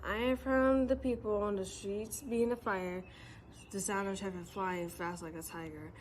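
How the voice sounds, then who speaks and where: A young girl student reading her own poem aloud, steady and careful. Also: mid-range voice, moderate pace. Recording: faint background noise.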